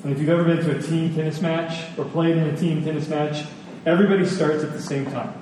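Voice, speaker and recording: A man's voice: fairly high pitch, steady tone, darkish tone. Man preaching in a very echoey hall. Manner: conversational, with emphatic repetition and pauses. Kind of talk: sermon with personal stories. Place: large reverberant room with an audience. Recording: echoey hall, distant, faint noise.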